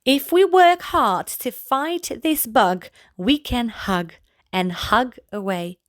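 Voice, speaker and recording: A woman narrates a children's read-aloud in a fairly high voice. Her delivery is very slow and deliberate, gently pitched at little listeners. The sound is dry, with hardly any room echo. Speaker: children's storybook reader, channel host.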